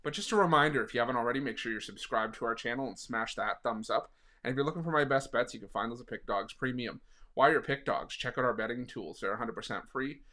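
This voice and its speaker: Hoarse man giving betting picks. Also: medium pitch, fast talker, bright, forceful tone. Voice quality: raspy. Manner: conversational, frequent fillers. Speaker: sports betting analyst. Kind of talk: sports betting pick video.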